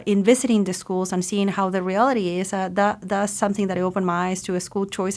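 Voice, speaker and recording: An emphatic woman from Chile speaks with a mid-range pitch. She talks as a podcast guest, pressing her points with conviction and now and then restarting a phrase. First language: Spanish. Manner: passionate, emphatic, with some restarts. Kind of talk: podcast interview.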